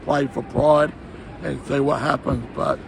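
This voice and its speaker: A hoarse man with a worn-out voice, vlogging excitedly from a football stadium and shouting along with the game. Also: mid-range voice, unhurried. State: hoarse, voice shot. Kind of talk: casual vlog chat at a match. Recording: loud stadium crowd and noise behind.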